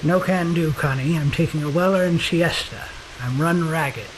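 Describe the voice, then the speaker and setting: A man's voice: a little high, moderate pace, a little hiss or hum, lightly acted. Man acting a world-weary noir detective, roomy echo. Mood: wry weariness. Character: weary, wisecracking 1950s male police detective. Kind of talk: hardboiled noir radio acting with first-person narration.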